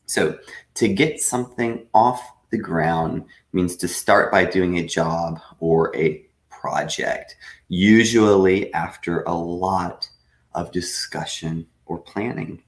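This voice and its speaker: A man teaching a live online English lesson, patiently explaining with frequent pauses. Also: deep voice, echoey room. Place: room at home.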